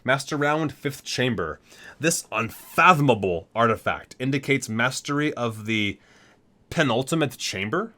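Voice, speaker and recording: A man presents in an ASMR style, reading catalog-like game entries piece by piece. His medium-pitched voice moves up and down with a lot of animation. He breaks from the measured reading into casual, enthusiastic commentary. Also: expressive, sharp tone. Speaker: ASMR video creator, gamer. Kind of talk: ASMR reading aloud from a game item book with casual asides.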